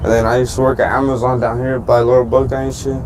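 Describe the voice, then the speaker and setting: A man's voice: medium pitch, little pitch movement, fast talker, darkish tone, a little hiss or hum. A nineteen-year-old man answering a street interview in casual, slangy talk.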